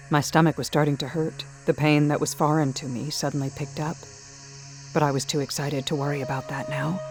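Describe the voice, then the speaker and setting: A woman giving dramatic first-person story narration in a measured, intimate manner. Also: a bass voice, gravelly. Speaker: audiobook-style narrator. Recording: music behind the voice.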